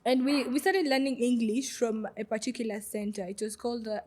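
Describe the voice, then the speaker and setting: A young woman from Goma in the DR Congo, talking as a guest on a podcast. Her voice sits a little high, and her story comes out unhurried and searching, full of "like" and "uh". It is close-miked in a studio. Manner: warm, hesitant storytelling with many fillers. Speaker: guest, refugee vendor. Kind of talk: podcast interview.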